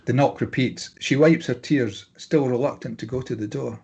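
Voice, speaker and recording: A man's voice: medium pitch, normal speed, darkish tone, slightly rough, lo-fi sound. A man reading stage directions as scripted narration at a drama table read.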